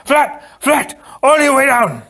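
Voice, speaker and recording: Adventure-story swordsman, acted by a male narrator: fiery, commanding, emphatic, raised in pitch with big swings. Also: big pitch swings, slow pace, gravelly, sharp tone, full character voice.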